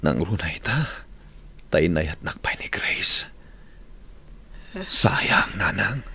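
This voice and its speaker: A man's voice: low-pitched, expressive, drawn-out words, creaky, sharp tone, in a whisper, heavily acted. Weak, sorrowful sick young man. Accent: Ilocano. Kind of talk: Filipino radio drama acting. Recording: music bed under the voice.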